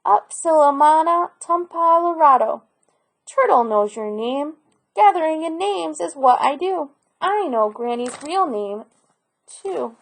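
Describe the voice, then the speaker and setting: A woman's voice: high-pitched, expressive, slow, voice pushed up, full character voice, low-quality recording. A woman voicing a kindly, wise sea turtle in a storybook read aloud. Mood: singsong, proud. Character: kindly wise male sea turtle who gathers names.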